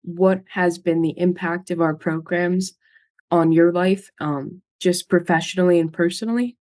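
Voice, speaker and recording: Polite woman interviewer, lowish voice. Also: steady tone, unhurried, darkish tone. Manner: polite, asks questions. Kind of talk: virtual coffee chat interview.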